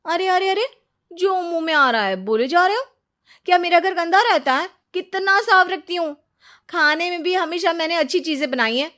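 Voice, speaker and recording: Woman voicing an exasperated, shrill young housewife. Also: animated, moderate pace, a bit bright. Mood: indignant. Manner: indignant, complaining, sarcastic. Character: exasperated, sharp-tongued young housewife answering back.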